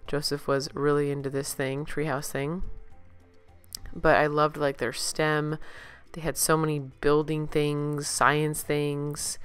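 Female vlogger, low smooth voice, casual and enthusiastic haul narration. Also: little pitch movement, slow pace, faint music behind. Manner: informal, enthusiastic. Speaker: vlogger, parent. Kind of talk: casual chatty shopping haul voice-over.

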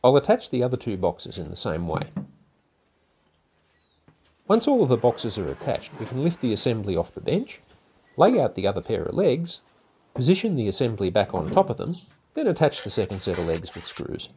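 An older man narrating a woodworking project in a calm, methodical, step-by-step way, as host of his own workshop channel. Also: mid-range voice, normal speed, slight background noise. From Australia. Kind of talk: instructional voice-over narration. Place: home garage workshop.

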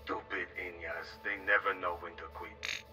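A man's voice: sits low, fairly even intonation, normal speed, vocal fry, music in the background. An actor playing an irritable, crude and sarcastic spaceship crewman in a video game, heard through a radio comms filter. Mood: scornful, mocking. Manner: sarcastic, needling. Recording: muffled distant radio channel.

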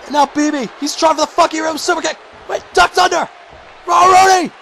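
A man calling a video game wrestling match play-by-play, shouting excitedly and going hoarse. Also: fairly quick, a little hiss or hum. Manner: shouting, high-pitched and strained, losing his voice. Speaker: commentator.

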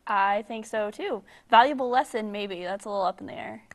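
A young woman co-hosting a science show with casual, deadpan, teasing banter. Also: mid-range voice, a little fast. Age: young adult. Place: studio set.

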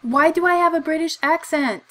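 Giggly young woman with a high voice. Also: normal speed, fairly bright tone. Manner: giggly, bemused, pressing with questions. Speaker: gamer. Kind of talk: let's play commentary. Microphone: desk microphone.

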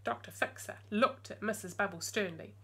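A woman's voice: mid-range voice, moderate pace, a bit bright, slightly rough. A woman in her forties reading a picture book aloud expressively, with chatty asides to young listeners. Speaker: storytime reader. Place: small room at home with bookshelves.

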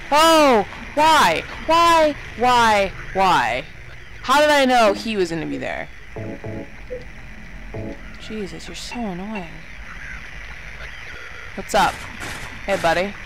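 A woman's voice: mid-range voice, creaky, fairly bright tone, slight background noise, low-quality recording. A woman giving casual horror game commentary, exclaiming, swearing and muttering asides to herself. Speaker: let's play gamer.

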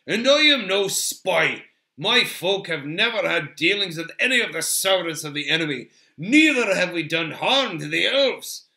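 A man voicing a stubborn, proud male dwarf warrior in a storybook, with loud, emphatic, defiant delivery. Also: sits high, voice pushed up, heavily acted. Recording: roomy, echoing sound.

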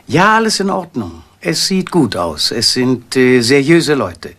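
A husky, guarded middle-aged professor. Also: male voice, medium pitch, sing-song, a little slow, a bit bright, full character voice. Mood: curt, guarded. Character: curt, guarded professor on secret business. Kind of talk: German crime radio drama acting.

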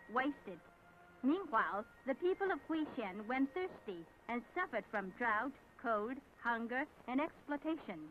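High-pitched woman narrator, tinny old film soundtrack. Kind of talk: scripted narration of a 1970s propaganda documentary. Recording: tinny, band-limited, noisy 1970s film soundtrack.

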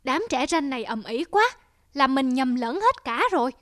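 A man's voice: very high-pitched, sing-song, normal speed, pushed and bright, no echo, studio quality, full character voice. A man playing a grumpy, fussy old shopkeeper in a cartoon, scolding and grumbling. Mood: irritated scolding. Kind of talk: cartoon character acting. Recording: music under the voice.

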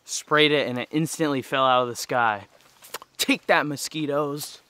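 A man in his thirties talks straight to his camera from a cramped truck camper. He sounds relaxed and chatty, in an everyday mid-range voice. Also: medium pitch, measured pace. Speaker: vlogger. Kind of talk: casual solo camping vlog. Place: inside a small truck camper.

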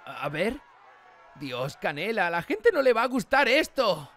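A woman's voice: medium pitch, big pitch swings, measured pace, a bit bright. Woman voicing boyish cartoon mayor, Spain accent, exasperated, colloquial, swinging intonation, faint background noise. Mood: weary, reasoning. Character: exasperated young male cartoon mayor, sensible but weary. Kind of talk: animated parody fandub acting.

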